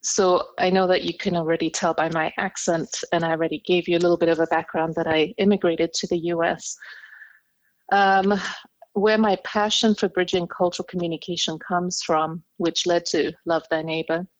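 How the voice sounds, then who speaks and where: Woman, Indonesian first language, low-pitched, dry close sound, reflective, measured. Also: fairly even intonation, no echo, muffled recording. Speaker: interview guest, communication skills trainer. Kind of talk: podcast interview storytelling.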